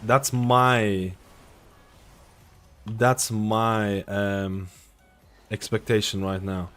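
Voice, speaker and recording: A man gives casual, opinionated live gaming commentary as a streamer, swearing offhandedly. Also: on the low side. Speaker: game streamer.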